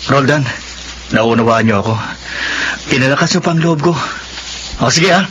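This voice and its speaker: A man's voice: medium pitch, normal speed, fairly bright tone, lightly acted. Glib young Filipino bachelor acted by a man, noisy recording. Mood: earnest excuse. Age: young adult. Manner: glib, excuse-making. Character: spendthrift young bachelor, glib teller of tall tales. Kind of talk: Filipino radio drama acting. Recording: noisy radio drama recording.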